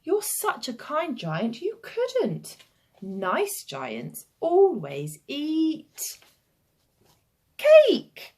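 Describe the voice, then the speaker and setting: An eager little storybook child, played by a woman, pleads and admires in a sweet, high-pitched voice. The lines are drawn out and very animated. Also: very slow, exaggerated voice. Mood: admiring, earnest.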